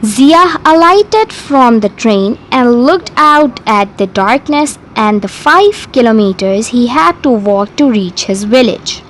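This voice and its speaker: Woman, high voice, lively rise and fall, unhurried pace, deliberate bedtime story narration. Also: a little hiss or hum, poor audio.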